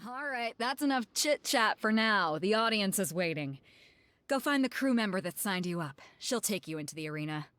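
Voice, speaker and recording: A woman's voice: mid-range voice, expressive, normal speed, a bit bright, dry recording, close to an everyday voice. An actress playing a bold, confident woman ship captain in a video game, brash and teasing.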